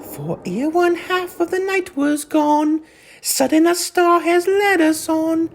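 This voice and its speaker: A man voicing young field mice chanting a carol in a slow, shrill, small falsetto. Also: expressive, a little hiss or hum, heavily acted. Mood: joyful, earnest.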